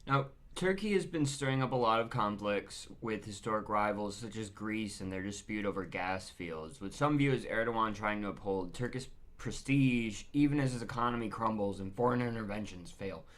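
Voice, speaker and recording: A man's voice: fairly low pitch, moderate pace, hardly any pauses, a bit bright. A man working as an interviewer, putting a long prepared question to a guest. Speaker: interviewer, editor.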